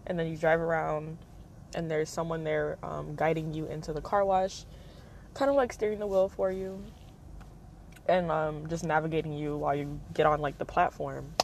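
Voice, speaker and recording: A young woman hosting her own podcast, talking casually in a monotone, rambling manner with lots of fillers. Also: moderate pace, a little hiss or hum. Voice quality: monotone, low-key delivery. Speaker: podcast host. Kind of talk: casual personal monologue.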